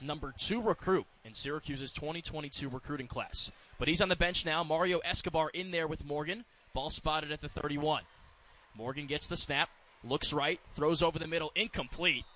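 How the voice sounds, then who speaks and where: An American man works as a radio football announcer, calling the action live. His voice is bright and forward, pitched on the high side. He describes the field in clipped phrases. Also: normal speed, low-quality recording.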